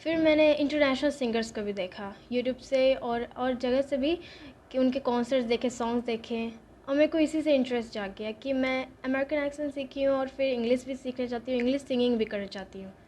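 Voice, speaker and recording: Teenage girl singer, high voice. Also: darkish tone, a little hiss or hum. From Bodh Gaya, India. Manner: mixes Hindi and English, speaks slowly and carefully in English. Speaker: interviewed young singer. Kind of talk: interview answers.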